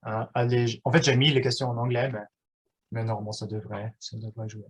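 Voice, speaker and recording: A man in his thirties whose first language is German, giving a live online workshop in a halting way, with many fillers and restarts. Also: medium pitch, level tone, slightly rough. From German-speaking Switzerland.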